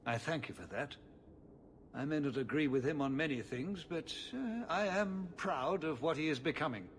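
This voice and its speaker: Actor as a weary, formal middle-aged city ruler. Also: male voice, on the high side, animated, a little hiss or hum, lightly acted. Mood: reflective. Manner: measured, formal, world-weary. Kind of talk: video game cutscene acting.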